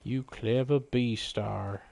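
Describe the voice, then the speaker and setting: A man's voice: mid-range voice, steady tone, very slow, gravelly, dark tone, dry recording. A man doing casual live gameplay commentary, exclaiming, swearing and muttering jokey remarks to himself. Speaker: video game live streamer.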